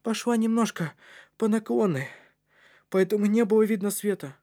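A man voicing a small, earnest young boy with a squeaky, breathy, effortful voice in a story. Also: moderate pace, dark tone. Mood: straining.

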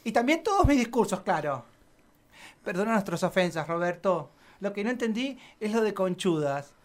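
A woman's voice: medium pitch, very animated, normal speed, lightly acted. An Argentine woman playing an evasive, self-serving local councillor in a satirical radio play, hesitant and wordy with officialese. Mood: calculating.

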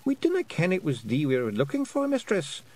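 A man voicing a small, bold fairy man in a high, gruff brogue. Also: wildly swooping pitch, fairly quick, darkish tone. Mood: earnest.

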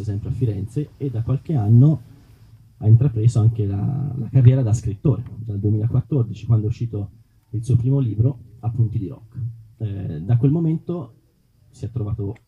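Guy hosting a book talk, husky and hesitant, dull live recording. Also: lowish voice. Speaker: moderator introducing an author. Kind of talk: live book presentation introduction. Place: outdoor festival event, live PA.

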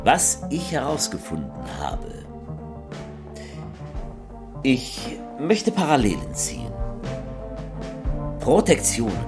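A grave, fervent politician with a lowish, very animated voice. He speaks in deliberate, rhetorical phrases with emphatic repetition, in a slightly absurd theatrical monologue. Music plays clearly behind him. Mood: probing, earnest.

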